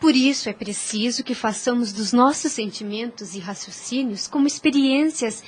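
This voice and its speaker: Woman playing a high-voiced, devout Brazilian young girl. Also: a little hiss or hum, lightly acted. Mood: devout, instructive. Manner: earnest, preaching, measured. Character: gentle, devout young girl, wise religious teacher. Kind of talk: audio drama acting.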